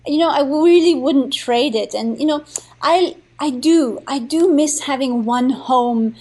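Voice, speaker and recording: Bubbly high-voiced young woman. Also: measured pace, a little mellow. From born in Thailand, raised in India and Nepal. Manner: bubbly, rambling, many fillers and restarts. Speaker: podcast guest, entrepreneur. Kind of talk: casual interview. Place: her home.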